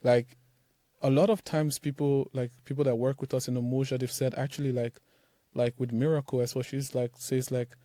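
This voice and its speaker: A man's voice: mid-range voice, level tone, normal speed, dark tone, no echo. A young man from Nigeria, a startup founder talking loosely in an interview, full of fillers like "like" and "man". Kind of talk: casual interview talk.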